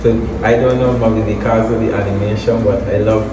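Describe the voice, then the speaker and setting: Young Guyanese man, low voice, halting guest answers, echoey roomy recording off-mic. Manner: relaxed, halting, with many fillers and restarts. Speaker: guest, soca artist. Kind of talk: casual interview. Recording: echoey room, distant mic, noisy.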